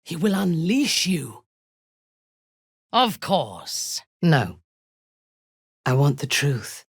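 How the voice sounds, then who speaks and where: A ruthless dark elf noblewoman for a fantasy video game. She is haughty and commanding, with a husky, breathy voice. Her delivery is slow and deliberate, swinging widely in pitch, and the sound is close and dry. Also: sing-song, no echo, full character voice. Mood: cool, commanding. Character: imperious, ruthless dark elf noblewoman warrior, coldly devoted.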